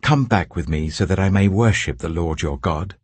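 A man's voice: low-pitched, moderate pace, a little mellow, breathy edge, no echo. Older man doing a pleading guilty king. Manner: pleading, defensive.

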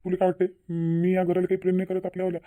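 A man's voice: high voice, monotone, racing, mellow, close to an everyday voice. A man voicing a troubled, insistent middle-aged village father in a Marathi cartoon, earnest and persuading, with a Vidarbha Marathi accent.

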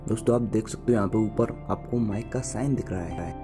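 A man's voice: fairly low pitch, brisk. A man presenting an app tutorial as a voice-over for a gaming channel. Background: background music.

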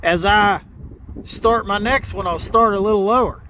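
A middle-aged man with a fairly high voice explains his welding work outdoors in a gravel yard. He talks in a plain, practical way, circling back and restarting phrases as he goes. The camera sits close to his face. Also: normal speed, sharp tone, a little hiss or hum, rough recording. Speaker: welder teaching on camera. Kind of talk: hands-on welding tutorial.

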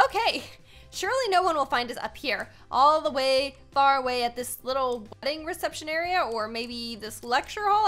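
A young American woman hosts a gaming video in a high, bright voice. Her commentary is casual, chatty and expressive, full of exclamations, with music playing in the background. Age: young adult.

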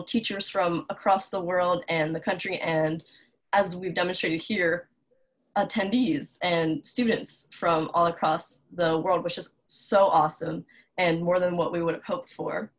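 A young woman in her twenties introducing an online cooking class from her bedroom over a video call, talking in an upbeat way. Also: lowish voice. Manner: upbeat, quick with fillers. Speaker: class organizer and host. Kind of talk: friendly introduction. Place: bedroom at home. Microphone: laptop webcam on a video call.

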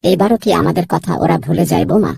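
A woman's voice: fairly low pitch, quick pace, vocal fry. A woman voicing a naive, eager young animal child in a cartoon, childlike and pleading. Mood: hopeful, wistful. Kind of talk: Bengali cartoon dialogue.

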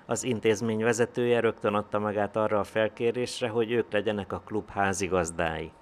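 A man's voice: fairly low pitch, steady tone, slight background noise. A man reading a scripted local news report as a voice-over.

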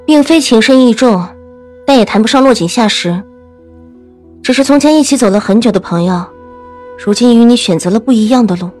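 Woman acting a composed, highish-voiced young noblewoman. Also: brisk, long pauses, a little mellow, faint music behind, lightly acted. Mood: calm, resigned. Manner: composed, matter-of-fact. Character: calm, weary young lady of a general's house. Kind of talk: audio drama acting.

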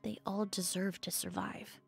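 A woman voicing a composed, analytical young woman in a video game, measured and thoughtful. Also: mid-range voice, level tone, gravelly, soft background music. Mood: solemn, reflective. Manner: measured, thoughtful, soft-spoken.

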